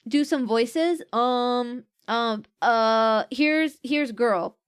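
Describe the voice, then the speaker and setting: A chatty woman talking casually on a stream, with a somewhat high voice. She rambles with many fillers and restarts. Her pitch rises and falls freely with what she is feeling. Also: very slow, clear, resonant tone. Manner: pitch swings widely with mood.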